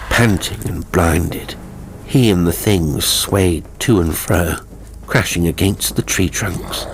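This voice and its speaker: A man reading a horror audiobook as narrator, with measured, suspenseful and deliberate delivery. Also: deep voice, slight background noise.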